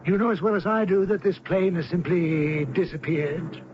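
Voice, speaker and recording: An actor playing a smug, scheming hijacker in an old radio drama. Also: male voice, sits high, normal speed, natural voice. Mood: smug, defiant. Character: smug, scheming male hijacker called reverend. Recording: muffled old radio, faint noise.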